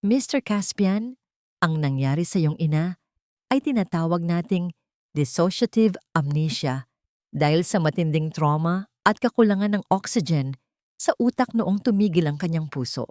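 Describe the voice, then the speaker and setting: A woman voicing a grave, sympathetic doctor with a measured, sombre manner in a narrated drama. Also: fairly low pitch, expressive, a little mellow, no echo, clean studio sound, close to an everyday voice. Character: grave, sympathetic hospital doctor explaining a diagnosis.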